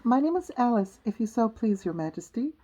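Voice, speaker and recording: A woman's voice: on the high side, lively intonation, a little slow, mellow, husky, voice pushed up, poor audio. A woman voicing a young storybook girl who is polite but bold and decided.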